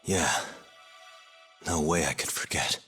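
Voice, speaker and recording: Very deep-voiced brooding male detective. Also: sharp tone, slightly rough, faint music behind, heavily acted. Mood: guilt-ridden. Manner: terse, grave, deliberate. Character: brooding guilt-ridden male detective and former defense lawyer. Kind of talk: video game cutscene acting.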